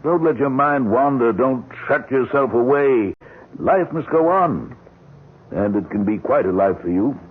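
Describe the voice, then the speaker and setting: A man playing a concerned but blunt, suspicious family doctor, measured and insistent, in an old-time radio mystery. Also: medium pitch, animated, husky. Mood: firm, probing. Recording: muffled narrowband radio drama.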